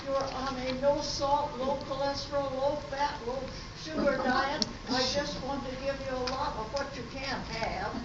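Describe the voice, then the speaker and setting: A woman's voice: high voice, steady tone, normal speed, hardly any pauses, close to an everyday voice. A woman playing an unbothered diner waitress giving dry comic answers in an amateur radio play. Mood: matter-of-fact. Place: live stage at an amateur arts festival. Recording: echoey hall from far off, muffled, noisy.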